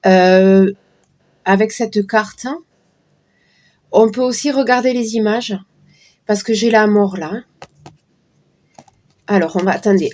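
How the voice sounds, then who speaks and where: A hoarse, middle-aged woman talking her viewers through a tarot spread in a loose, chatty way. Her voice sits at an ordinary pitch, and she often drifts into asides while she shuffles. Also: darkish tone. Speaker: card reader. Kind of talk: casual card-reading livestream talk.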